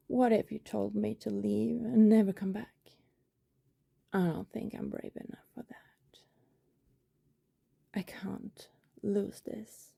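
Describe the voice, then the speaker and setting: A woman playing a lonely, tender, guilt-ridden vampire neighbor in an intimate ASMR roleplay, speaking softly in a breathy whisper with pauses. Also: mid-range voice, no echo, heavily acted. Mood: regretful, tender. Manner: slow, hushed, intimate, with pauses.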